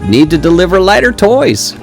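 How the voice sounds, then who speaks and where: The amiable, prying bartender of a radio comedy's dive bar, lively and sing-song over soft music, acted by a man. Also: high voice, normal speed, gravelly, full character voice. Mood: sympathetic, prodding. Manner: colloquial, easygoing, hesitant asides. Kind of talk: comedic audio play acting.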